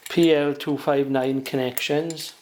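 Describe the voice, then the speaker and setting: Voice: medium pitch, steady tone, measured pace, a little mellow, slight background noise. A hobbyist presenter giving a casual beginner's how-to, talking plainly and without hurry through each step while working.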